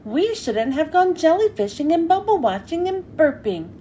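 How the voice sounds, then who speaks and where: A woman voicing an earnest, excitable young male sponge character. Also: very high-pitched, moderate pace, slight background noise, voice pushed up. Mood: despairing.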